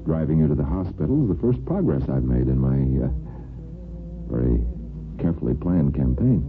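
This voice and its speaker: Very low and lively, this is a suave, persistent gentleman suitor from an old radio soap. He teases with courtly polish. The sound is thin, narrow vintage radio with faint music. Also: normal speed, heavily acted. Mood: teasing, persistent. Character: smooth, persistent male neighbor courting a nurse.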